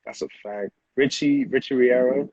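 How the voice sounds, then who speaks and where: A man's voice: medium pitch, moderate pace, darkish tone, no room sound, lo-fi sound. An American man chatting casually as an interview guest, with slangy phrasing and a habit of repeating himself. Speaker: music producer, interview guest.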